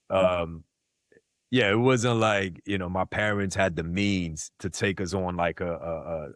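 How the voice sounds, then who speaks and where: A man's voice: low-pitched, no echo, clean studio sound. A man chatting casually as an interview guest, slangy and often checking that the listener follows. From born in South Korea, raised in Queens, New York. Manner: slangy, frequent "like" and "you know what I mean". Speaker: podcast guest, content creator.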